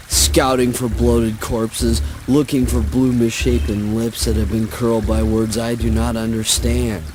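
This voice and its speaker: A man playing a brooding, anguished father in a theatrical spoken word play, speaking slowly in a declamatory, self-questioning way. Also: medium pitch, natural voice. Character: brooding, anguished father watching corpses drift downriver.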